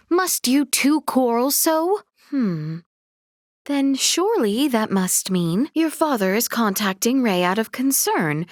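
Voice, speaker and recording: A woman's voice: a little high, dry recording, studio quality. A woman voicing a young noble princess in a video game, earnest and dignified, with formal, polite, precisely worded speech. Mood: gently concerned.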